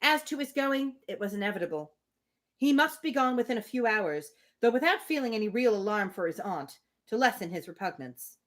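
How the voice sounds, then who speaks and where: A woman's voice: on the high side, expressive, fairly quick. Woman reading a novel aloud, chatting informally. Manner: informal, opinionated asides. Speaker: reader on a livestream. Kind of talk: live book reading with casual commentary.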